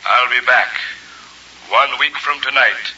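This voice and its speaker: Casting: an ominous, taunting devil figure for radio horror, very sharp forceful tone, muffled vintage sound. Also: male voice, medium pitch, slow. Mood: commanding. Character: sinister, commanding devilish bargainer who offers life for a price. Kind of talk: old-time radio horror drama acting. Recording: muffled old radio recording with light hiss.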